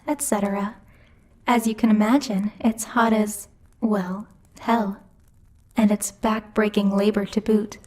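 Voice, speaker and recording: A woman's voice: on the high side, warm tone, slight background noise, lightly acted. A woman voicing a bossy, teasing female demon who rules hell, speaking in a haughty, playfully menacing ASMR roleplay style. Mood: proud, matter-of-fact. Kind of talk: ASMR roleplay, intimate scripted monologue to the listener.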